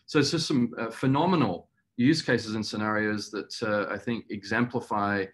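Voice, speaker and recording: Man, middle-aged, lowish pitch, executive interview, earnest, measured, hesitant, video call. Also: slight background noise. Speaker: company executive. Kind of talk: webinar interview answer. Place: small indoor room, video call.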